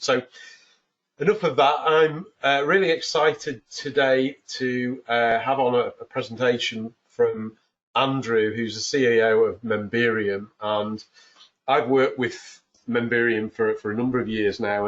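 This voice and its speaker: Northern English man, dull lo-fi audio. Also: mid-range voice. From north of England. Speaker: webinar host. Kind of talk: informal webinar introduction. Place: office.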